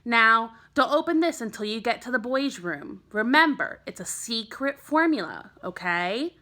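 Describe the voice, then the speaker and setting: A woman voicing a kindly but firm female schoolteacher who speaks to children in measured phrases. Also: a little high, animated, bright, forceful tone. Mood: coaxing.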